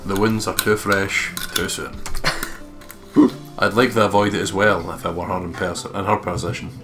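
Low-voiced man narrating a game. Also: little pitch movement, normal speed. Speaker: let's play host, narrator. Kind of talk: reading visual novel narration with casual asides. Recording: music playing behind the voice.

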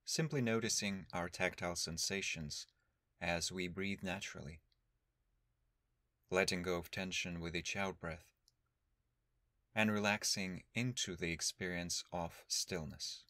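A man leading a guided meditation as a teacher, speaking in a calm, measured, instructional way with long pauses. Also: low voice, no room sound, clean studio sound.

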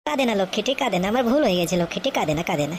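A woman's voice: mid-range voice, animated, racing, heavy background noise, lightly acted. A woman voicing a foolish, doting cartoon husband who wheedles and pleads with comic melodrama. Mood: coaxing.

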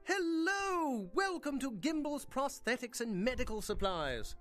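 A man's voice: very high-pitched, big pitch swings, a little slow, a bit bright, soft background music, exaggerated voice. An actor voicing a creepy, obsessive male prosthetics maker in a video game, with a genteel, relishing, slyly unsettling way of talking. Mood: eerie cordial. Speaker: game character.

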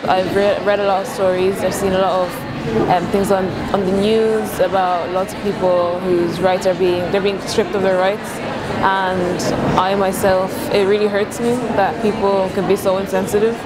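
A woman's voice: medium pitch, level tone, normal speed, very noisy. A teenage Irish schoolgirl in an interview, hesitant and full of ums. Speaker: secondary school student. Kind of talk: interview soundbite.

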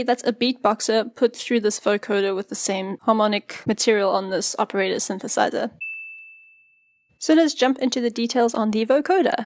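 A woman narrates a software tutorial in a fairly high voice. She explains each step informally, and the recording is close and dry, with very little room sound. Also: no room sound. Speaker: tutorial presenter.

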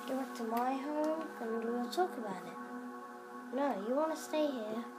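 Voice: sits high, measured pace, over music. A child improvising role-play commentary while playing a video game, hesitant and coaxing, recorded in a living room in front of a TV. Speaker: player making a game video. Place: living room, filming a TV screen.

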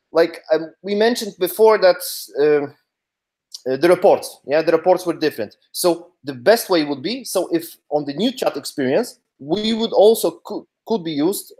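A man in his thirties informally explaining a product on a video call, halting and rephrasing as he goes. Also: a little high, a little mellow. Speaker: webinar co-presenter. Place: small room at home or office, on a video call.

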